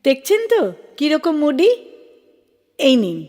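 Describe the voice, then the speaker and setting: A homely elder sister in a Bengali audio drama, hospitable and apologetic. Her fairly high voice explains things politely, trailing a long hall reverb. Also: sing-song, measured pace, darkish tone.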